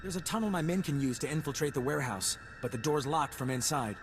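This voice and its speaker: A man playing a brash, harried squad leader in a video game, talking in an informal, brisk military way. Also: on the high side, expressive, lightly acted. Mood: brisk briefing. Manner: informal, brisk soldier talk. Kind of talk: video game cutscene acting. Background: game music.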